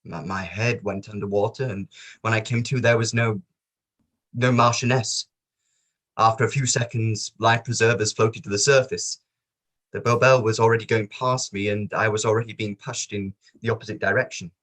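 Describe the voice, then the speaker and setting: A man reading the part of a sombre witness at a disaster inquiry in a play table read, in a measured, plain manner. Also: fairly low pitch, fairly even intonation, a bit bright.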